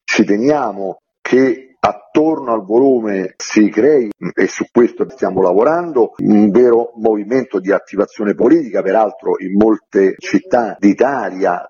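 A man's voice: lowish voice, unhurried, hardly any pauses, dark tone. A man being interviewed on the radio as an author, explaining earnestly and articulately with emphatic phrasing. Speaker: author interviewed about a book. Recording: muffled lo-fi radio interview line.

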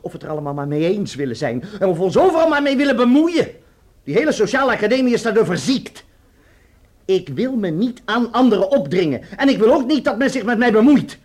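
A very high, excitable young male voice in a radio drama. He is a resentful, rebellious son, sarcastic and defiant, with pitch that swings widely. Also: fast. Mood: sarcastic, indignant. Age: young adult. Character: rebellious, resentful student son in his early twenties. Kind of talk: Dutch radio play acting.

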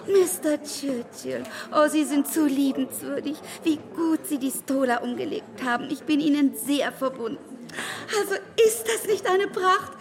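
An actress playing a fussy, effusive middle-aged spinster aunt in a German radio drama, gushing and repeating herself breathlessly. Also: female voice, high voice, measured pace. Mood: overwhelmed, gushing. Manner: gushing, breathless, repetitive, exclaiming. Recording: reverberant hall with chattering crowd.

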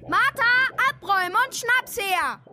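A woman playing a loud, greedy, unruly young child in a radio drama, shrill, brash and commanding. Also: animated, moderate pace, slight background noise, full character voice. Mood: bossy, excited.

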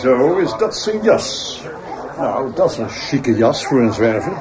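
An actor playing a senior police detective in a radio drama, dry, ironic and commanding in manner. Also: male voice, medium pitch, sing-song, unhurried, crowd noise. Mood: inquisitive.

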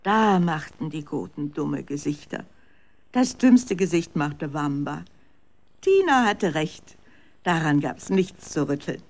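Woman storyteller, children's radio drama. Dramatic, swooping intonation, slightly raspy voice. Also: low voice, animated, takes it slowly, a little mellow. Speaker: narrator. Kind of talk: expressive scripted children's story narration.

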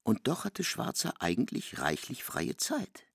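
A man's voice: medium pitch, wildly swooping pitch, a little slow, creaky, fairly bright tone, breathy edge, no echo, clean studio sound. A man narrating literary prose for a radio play, expressively, in pronunciation.

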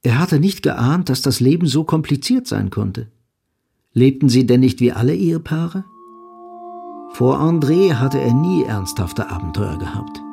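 A sober male narrator tells a literary story. His voice is medium in pitch and noticeably creaky. Faint music plays beneath the narration. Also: expressive, measured pace, mellow, exaggerated voice. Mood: sober, reflective. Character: sober third-person narrator of a troubled love story.

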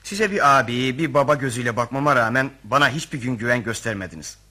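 An actor playing an obsequious young clerk and suitor in a radio play, over-polite and wheedling. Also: male voice, mid-range voice, expressive, fast, pushed and bright. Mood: wounded, ingratiating. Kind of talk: radio theatre acting.

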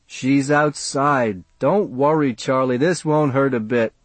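A man voicing a fat, self-important older doctor in an audiobook, with a pompous, measured, clinical manner. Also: medium pitch, dry recording, lightly acted. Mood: soothing.

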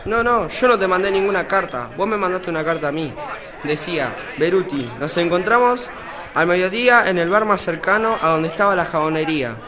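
A man's voice: sits high, animated, a little fast. A young man playing a puzzled, informal revolutionary veteran in an amateur student radio play. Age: teenager or young adult. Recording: busy crowd noise behind voice.

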